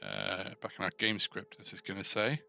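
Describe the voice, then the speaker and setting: A man hosting a casual live coding tutorial, thinking aloud informally and tentatively, often pausing mid-thought as he works. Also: on the low side, flat delivery, gravelly, sharp tone, faint music behind. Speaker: host of a coding tutorial stream.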